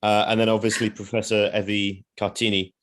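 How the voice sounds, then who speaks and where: A man's voice: fairly low pitch, little pitch movement, brisk, a bit bright. Hesitant man on a video call. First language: not Indonesian. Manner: hesitant, apologetic, many fillers and self-conscious laughs. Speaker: co-founder presenting certificates. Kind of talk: informal webinar remarks over video call.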